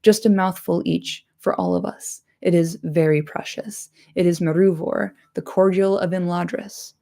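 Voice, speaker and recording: Woman voicing a grave old wizard, low-pitched and creaky. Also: expressive, moderate pace, mellow. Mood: grave, firm. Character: stern old male wizard, grave and commanding.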